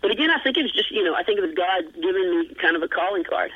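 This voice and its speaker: A man with a noticeably high, light voice rambles earnestly through his answers, full of fillers and restarts. He pokes fun at himself as he talks. He comes through a narrow, tinny phone line. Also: expressive, very fast, slightly rough. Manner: self-deprecating humor. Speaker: guest. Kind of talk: casual interview chat.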